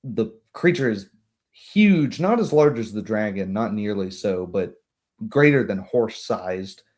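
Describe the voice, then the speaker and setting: A man's voice: on the low side, lively intonation, unhurried, a little mellow. A man narrating a tabletop roleplay game as game master, in vivid descriptive phrases.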